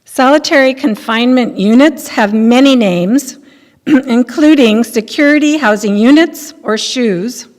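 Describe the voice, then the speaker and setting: An older woman reads a script aloud to the public. Her voice is fairly high, and it rings through a reverberant hall. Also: a little slow. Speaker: reader in a readers theater.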